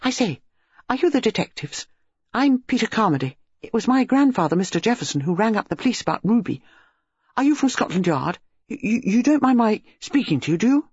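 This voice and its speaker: A man voicing an excited, talkative boy of about nine who stammers and chatters eagerly. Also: high voice, normal speed, slightly rough, dry recording, pitched above the performer's own voice, heavily acted. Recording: dull, dry lo-fi recording.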